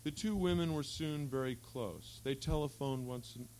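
A man reading literary fiction aloud at a live event, with a measured, articulate storytelling delivery. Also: mid-range voice, darkish tone, low-quality recording. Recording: faint hum and background noise.

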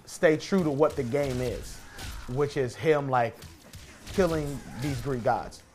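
A man's voice: mid-range voice, takes it slowly, soft background music. A man in a casual podcast chat while playing a video game, arguing his points and repeating them for emphasis. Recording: clearly noisy room recording.

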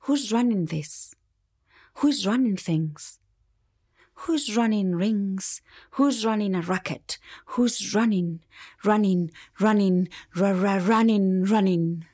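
A woman's voice: medium pitch, slow, dark tone. A woman playing a playful, whimsical figure in a radio play, with theatrical delivery.

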